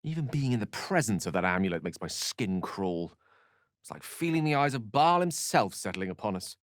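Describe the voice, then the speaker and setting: An eloquent, lively-voiced wizard. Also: male voice, lowish voice, normal speed, dry recording, studio quality. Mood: grave, uneasy. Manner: eloquent, courtly phrasing with wry asides. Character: learned, wry, courteous male human wizard. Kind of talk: video game character voice lines.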